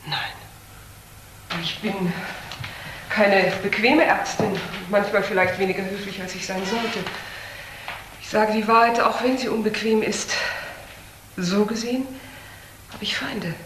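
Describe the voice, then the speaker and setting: A woman's voice: mid-range voice, steady tone, unhurried, pauses often, close to an everyday voice. Casting: actress as blunt female doctor, self-assured and cool, crime radio play, roomy sound with faint noise. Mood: cool, challenging. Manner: cool, matter-of-fact, challenging. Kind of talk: German crime radio drama acting.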